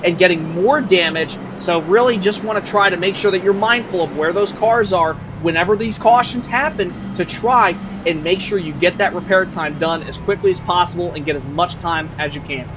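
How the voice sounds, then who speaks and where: High-voiced man explaining race strategy. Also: moderate pace, few pauses, muffled recording. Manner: casual, explanatory, many fillers. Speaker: color commentator. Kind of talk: sim racing broadcast commentary. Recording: noisy track sound behind.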